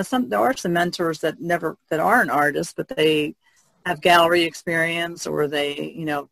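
A woman's voice: low voice, creaky, fairly bright tone, dry recording, poor audio. A woman artist talking casually as a panelist in a podcast discussion.

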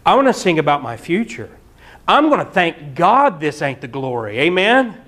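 A middle-aged man preaching a sermon in a church hall, animated and often breaking into mock singing and laughter. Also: on the high side, normal speed. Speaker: pastor. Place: church hall with projector screen.

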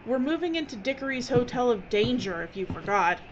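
An actress playing a bossy, impatient, sharp-tongued filmmaker in an audio drama. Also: female voice, a little high, animated, brisk, close to an everyday voice. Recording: dull, noisy audio drama recording.

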